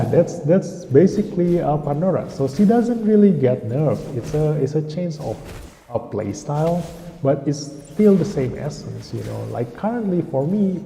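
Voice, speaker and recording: Dark-voiced man rambling on stream. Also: mid-range voice. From Indonesia. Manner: rambling, often loses the thread. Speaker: game streamer. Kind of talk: casual live-stream game commentary. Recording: roomy echo with long reverb, faint music.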